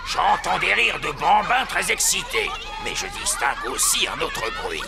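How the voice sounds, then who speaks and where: An actor voicing a hearty, gruff seafaring elf uncle with a gravelly voice in a French cartoon dub. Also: male voice, high voice, wildly swooping pitch, moderate pace, over a noisy crowd, heavily acted. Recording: loud music behind the voice.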